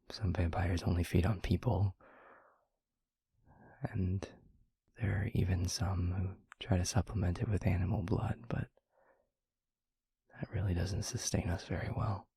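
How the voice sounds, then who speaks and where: A man acts out a protective vampire boyfriend in an intimate audio roleplay. His voice is low and very breathy, with gravelly creak at the edges. He speaks softly and reassuringly, leaving long, hesitant pauses. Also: dry recording, heavily acted. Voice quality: breathy, husky, half-whispered. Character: gentle, protective young male vampire maker and boyfriend.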